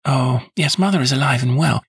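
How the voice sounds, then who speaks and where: A man's voice: medium pitch, fairly quick, creaky, breathy edge, no room sound, clean studio sound, pitched above the performer's own voice. A man voicing a nervous, chatty, good-humoured young woman in an audiobook story. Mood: chatty, earnest. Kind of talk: audiobook character voice.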